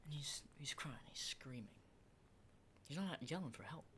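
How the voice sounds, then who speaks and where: A man playing an unsettling, lonely, hardened apocalypse survivor in an ASMR roleplay, speaking in a halting, breathy whisper and soft voice. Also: fairly high pitch, animated, a little hiss or hum. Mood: haunted, storytelling. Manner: hushed, halting, repeats words, mild swearing.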